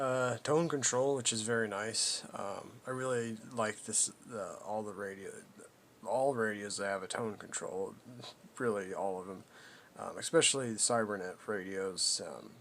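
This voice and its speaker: A man giving a casual, unscripted product walkthrough as a hobbyist, haltingly thinking aloud as he goes. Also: fairly low pitch, fairly bright tone, a little hiss or hum. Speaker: hobbyist presenter demonstrating a vintage CB radio.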